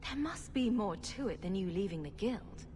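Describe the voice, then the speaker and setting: Woman playing a snarky rogue hero in a game, mid pitched, dry delivery, ambient noise behind her. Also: animated, normal speed, natural voice. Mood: sarcastic. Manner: dry, sarcastic. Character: wry, sarcastic female rogue champion. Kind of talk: video game character acting. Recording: clear background ambient noise.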